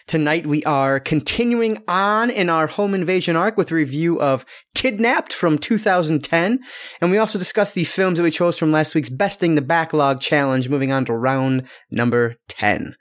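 A man's voice: on the high side, normal speed. A man co-hosting a casual podcast, upbeat and chatty, running through plugs and sponsor reads while getting over a cold. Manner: upbeat, lively, reads plugs and web addresses. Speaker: podcast co-host.

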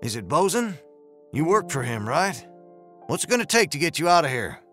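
A man's voice: a little high, very animated, normal speed, fairly bright tone, faint music behind. A man voicing a stern, blunt former Texas Ranger with a protective streak in a western audiobook. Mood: firm, questioning. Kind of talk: western audiobook character acting.

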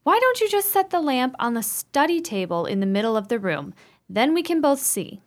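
A woman's voice: sits high, sing-song, normal speed. A woman acting an anxious, easily alarmed sister in a radio play, full of urgent questions. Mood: puzzled, fretful.

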